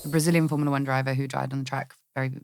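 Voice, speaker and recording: A woman in her thirties, a guest talking candidly and quickly in a casual podcast conversation. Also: extremely low pitch, little pitch movement, a little mellow, a little hiss or hum. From Britain. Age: 30s. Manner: candid, rapid, restarts, occasional swearing. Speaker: guest, actress.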